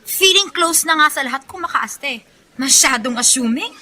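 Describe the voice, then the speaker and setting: A woman's voice: high-pitched, lively intonation, normal speed, pushed and bright, heavily acted. A woman acting a spiteful, jealous young rich schemer in a radio drama, with a sneering, emphatic delivery. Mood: jealous, scornful.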